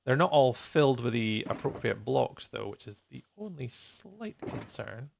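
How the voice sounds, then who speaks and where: A man's voice: mid-range voice, expressive, measured pace, vocal fry, slight background noise. A man chatting casually on a gaming livestream, thinking aloud in a playful, rambling way. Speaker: live streamer, host.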